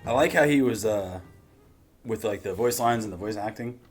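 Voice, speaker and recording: A man's voice: on the low side, moderate pace, soft background music. A man in casual reaction chat as a host, talking in a slangy, jokey, profane way.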